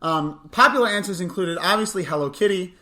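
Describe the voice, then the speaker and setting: Young male podcast co-host, higher-pitched, bright, deadpan mock-news delivery. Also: brisk. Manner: dry, mock-serious. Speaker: co-host of a camp morning show podcast. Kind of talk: chatty news and mailbox segment.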